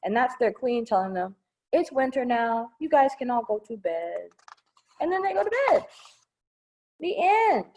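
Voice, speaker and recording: A woman's voice: on the high side, sing-song, unhurried, long pauses, a little mellow. A woman reading a children's picture book aloud at storytime, playful and sing-song, with simple asides explaining things to kids. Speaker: children's storytime reader at a public library.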